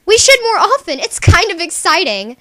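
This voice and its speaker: A woman's voice: very high voice, sing-song, moderate pace, pushed and bright, no room sound, studio quality, full character voice. A woman voicing a bubbly young alien sea girl who scolds and teases emphatically, in a character reel for a webcomic. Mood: teasing, indignant. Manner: exclamatory, teasing, emphatic.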